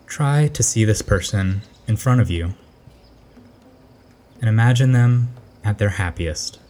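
A man narrating a guided meditation in a gentle, unhurried instructional manner, letting his phrases fall softly at the end. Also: lowish voice, expressive.

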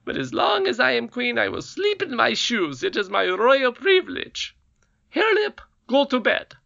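A man doing a shrill scornful goblin queen. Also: very animated, creaky, pushed and bright, no room sound, dull audio, exaggerated voice. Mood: bossy. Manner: shrieking, drawn-out high voice.

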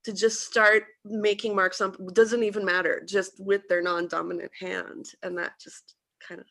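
Creaky-voiced woman, halting chat. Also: medium pitch, flat delivery, a bit bright. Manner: hesitant, many fillers and restarts. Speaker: coach and hobby painter. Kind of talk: casual introduction on a video call. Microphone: video call.

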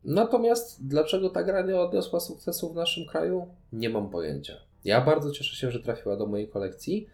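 A man's voice: a little high, expressive, a little fast, few pauses, darkish tone. A man in casual conversation, co-hosting a podcast and reviewing games, recorded with a roomy echo. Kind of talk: casual chat, board game review.

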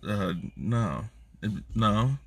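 Laid-back low-voiced man rambling. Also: lively intonation, drawn-out words, vocal fry, distant mic, a little hiss or hum. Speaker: podcast co-host. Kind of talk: casual drunk chat.

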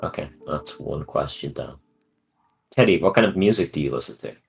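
A young man hosting a casual podcast, joking and self-deprecating, with halting, stop-start delivery. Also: a bass voice, expressive, vocal fry, soft background music. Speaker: podcast host. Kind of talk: casual chat.

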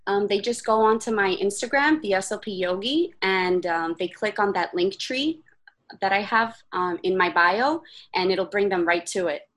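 A woman's voice: medium pitch, normal speed, fairly bright tone. A woman speech-language pathologist answering interview questions hesitantly, with frequent fillers.

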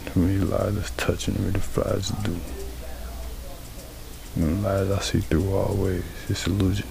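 A nineteen-year-old man with a very low, heavily gravelly voice that stays flat as he muses. He talks in loose, profane slang, sometimes drops to a hushed whisper, and has steady noise behind him. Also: measured pace. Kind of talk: freestyle musing monologue. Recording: noisy background ambience.

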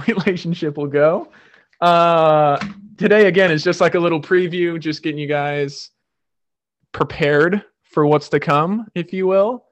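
A man hosting a podcast, talking loosely with lots of fillers and restarts. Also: fairly high pitch. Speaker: podcast host. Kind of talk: casual podcast chat.

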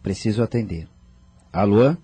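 A man's voice: lowish voice, expressive, moderate pace, mellow, slightly rough, soft background music. A man playing a worried, guilt-ridden husband and father, earnest and troubled, in a radio soap opera. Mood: alarmed. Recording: noisy audio with ambient sound.

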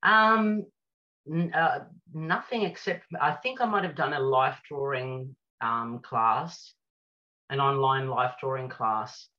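A woman talks loosely and chattily as an interview guest, her thoughts wandering through plenty of "you know" and "like". Her voice is bright and forward. The call audio is dull and lo-fi. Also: rumbling low, takes it slowly. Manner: chatty, rambling with many fillers. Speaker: guest artist and cabaret singer. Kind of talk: casual video-call interview chat.

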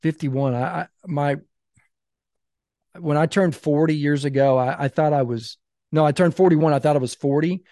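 Animated man in his fifties. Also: a little high, normal speed, no echo, clean studio sound. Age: 50s. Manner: animated, emphatic storytelling. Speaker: podcast guest, author. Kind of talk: casual podcast conversation.

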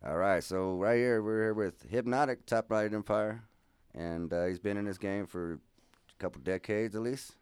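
A man's voice: fairly low pitch, darkish tone, smooth, no room sound. A man hosting a casual radio interview, easygoing and encouraging, keeping the talk moving. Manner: easygoing, encouraging, quick backchannels. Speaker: radio host.

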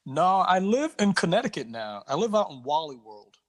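A middle-aged man from Barbados hosting a casual interview, animated and quick to interject, often restarting his sentences. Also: a little high. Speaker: interview host.

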